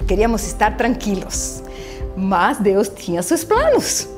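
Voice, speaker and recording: A middle-aged woman from Argentina with an Argentine Spanish accent, whose native language is Spanish, telling her personal faith story in an earnest, halting way with restarts and repeated words. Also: mid-range voice, big pitch swings. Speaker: church member giving testimony. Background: soft background music.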